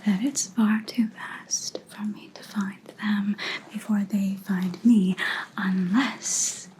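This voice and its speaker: A woman's voice: mid-range voice, steady tone, dark tone, slight background noise, close to an everyday voice, rough recording. A woman playing a grim, vengeful vampire hunter in an ASMR roleplay, whispering breathily and menacingly close to the microphone. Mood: cold, knowing. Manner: slow, deliberate, menacing.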